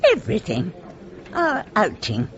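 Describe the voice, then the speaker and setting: A woman's voice: mid-range voice, huge pitch swings, unhurried. An actress playing a gracious, kindly lady in her eighties with an upper-class English accent, in a radio drama. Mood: gracious, wistful. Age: 80s. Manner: gracious, refined, effusive. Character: genteel, kindly elderly widowed lady employer. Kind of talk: British radio drama acting. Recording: muffled vintage radio recording.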